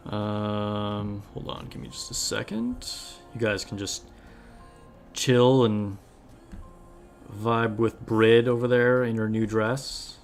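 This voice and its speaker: A man's voice: lowish voice, slow. A man with a slightly scratchy voice chatting casually and informally as he hosts a live stream and greets viewers. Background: music.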